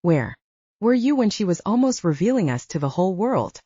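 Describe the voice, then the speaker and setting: A woman's voice: mid-range voice, very animated, fairly quick, mellow, husky, no room sound, lo-fi sound. A woman voicing a commanding, exasperated older brother, an alpha werewolf, with a stern, patronizing manner in an audiobook.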